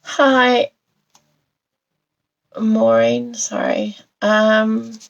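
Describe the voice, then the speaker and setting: A New woman with a croaky voice from a cold, chatting as she demonstrates a papercraft card on a live stream and greets viewers by name. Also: a little high, flat delivery, very slow, lo-fi sound. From New Zealand.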